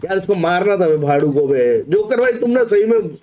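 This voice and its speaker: A man's voice: high-pitched, animated, moderate pace, a little mellow. A man in his thirties with an Indian accent chatting casually with teammates as he streams a game, blunt and exasperated. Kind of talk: casual live gameplay chat with teammates. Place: indoor room at a streaming setup.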